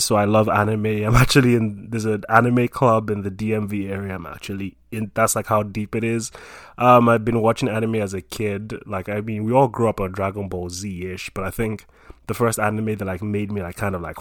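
A man's voice: fairly low pitch, a little fast, dry recording, clean studio sound. A young man from Nigeria in his twenties, chatting casually about himself with lots of fillers. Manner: relaxed, rambling with many fillers.

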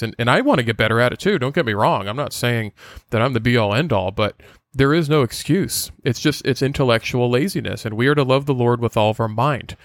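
Man, Portuguese first language, mid-pitched, earnest lecturing podcast host, dry close-mic sound. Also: no echo.

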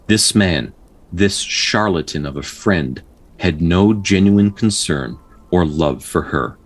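A man hosting a storytelling show, narrating folktales in a measured, dramatic way with casual asides and a husky voice. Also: deep voice, lively intonation. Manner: measured, pausing delivery when telling the tale.

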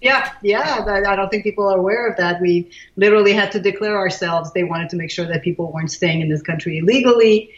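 A woman in her sixties from Iran, a guest in an interview, thoughtful and pausing with many fillers and restarts. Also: mid-range voice, roomy sound, highs cut off. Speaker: guest, leadership expert. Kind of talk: casual interview.

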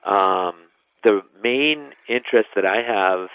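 A middle-aged man explains things conversationally in an interview. His voice is low and gravelly, coming over a narrow phone-like line. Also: slow, dry recording. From west central Wisconsin, United States. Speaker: interview guest, national park superintendent.

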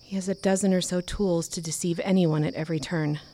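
A woman acting in an audio drama as a caring, steady advocate and old friend. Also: on the low side, brisk, lightly acted. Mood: reassuring.

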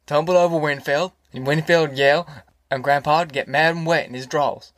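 A man's voice: a little high, fairly even intonation, normal speed, fairly bright tone, no echo, clean studio sound, close to an everyday voice. A man voicing a boisterous older farmer father in an audiobook, rambling and folksy, with a rural Southern American accent. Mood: amused, boisterous. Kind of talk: audiobook character acting.

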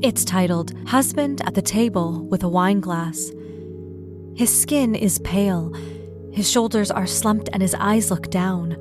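A woman's voice: medium pitch, a little slow, music in the background, close to an everyday voice. An actress playing an intense woman who narrates surviving a Martian invasion in dramatic audio theatre. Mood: measured, descriptive.

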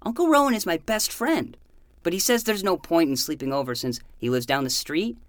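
A woman's voice: on the low side, lively intonation, fairly quick, dry recording, clean studio sound, natural voice. A woman playing a self-important 12-year-old boy who acts like a hardboiled private eye in a fiction podcast. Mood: earnest, insistent. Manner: melodramatic, self-important noir narration.

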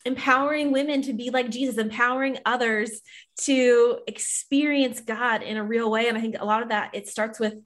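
A woman's voice: a little high, steady tone, moderate pace, fairly bright tone. A middle-aged woman talking casually and earnestly about faith as a podcast guest, with emphatic, informal phrasing. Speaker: podcast guest, Bible study author.

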